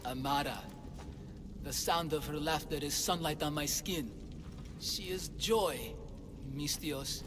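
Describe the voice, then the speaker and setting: A man's voice: a little high, slow pace, long pauses, background noise. A man playing an anxious, melodramatic father, a silver-tongued swindler in a video game, with theatrical, faltering speech. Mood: grieving. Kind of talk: dramatic video game dialogue. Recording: long cavernous reverb, music behind.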